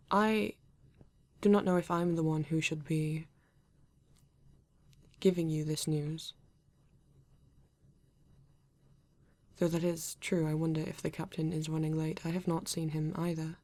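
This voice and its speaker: Woman as a low, even-voiced British male android. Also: dry recording. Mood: formal, calm. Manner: formal, stiff, deliberate phrasing with halting pauses. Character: formal reserved male android police detective, newly awakened. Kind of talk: ASMR roleplay addressed to the listener.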